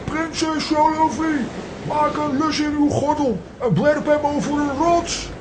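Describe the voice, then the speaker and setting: An actor in a children's audio drama playing an excitable, exclaiming comic hero in a theatrical way. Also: high-pitched, slow pace, noisy background. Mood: elated, urgent.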